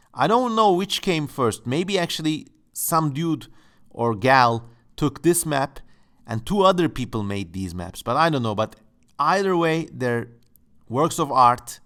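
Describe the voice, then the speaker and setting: A man's voice: mid-range voice, fairly bright tone. Playful man. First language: Turkish. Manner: playful, musing, tongue-in-cheek. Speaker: channel host. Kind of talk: casual exploratory commentary. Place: home.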